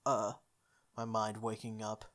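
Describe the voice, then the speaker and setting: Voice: medium pitch, monotone, takes it slowly, fairly bright tone. A playthrough host reading visual novel text aloud in a playful way, with casual asides. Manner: playful, interjects informal comments on the script. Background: game music.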